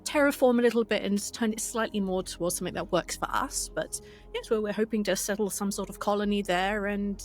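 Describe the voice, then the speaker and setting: Halting, earnest idealist spokeswoman, played by a woman. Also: mid-range voice, faint music behind, natural voice. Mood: earnest, diplomatic. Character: earnest idealistic anti-capitalist group spokeswoman in a roleplay negotiation. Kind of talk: improvised tabletop roleplay.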